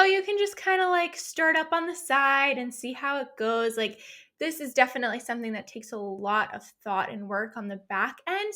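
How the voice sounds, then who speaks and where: A woman's voice: high voice, bright, forceful tone. A young adult woman hosting a podcast interview, upbeat and chatty, with lots of "like" and "kind of" fillers. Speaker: podcast host. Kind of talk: casual interview chat.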